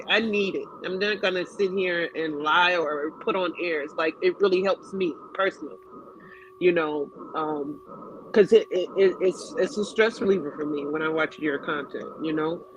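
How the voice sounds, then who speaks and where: A man with a notably high voice calls in with faint noise behind him. He speaks earnestly and halts mid-phrase, repeating words as he goes. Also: fairly even intonation, moderate pace, low-quality recording. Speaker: caller. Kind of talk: casual call-in chat.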